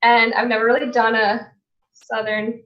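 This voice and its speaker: Higher-voiced woman, slightly dull call audio. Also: level tone, normal speed. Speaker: voice actor guest. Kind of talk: casual chat.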